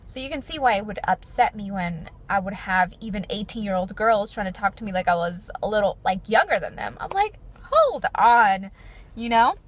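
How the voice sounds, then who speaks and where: A woman in her twenties, talking earnestly and chattily to her viewers, with a fairly deep voice. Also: sing-song, normal speed. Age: 20s. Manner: earnest, chatty, frequent "like" and "you know". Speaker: beauty vlogger. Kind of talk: personal reflective chat to camera.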